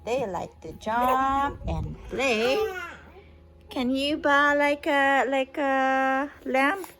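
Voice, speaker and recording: A woman's voice: sits high, very slow, a crowd in the background. A woman reading a children's book aloud as a mother to a young child, in a sing-song, coaxing way with simple questions. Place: at home.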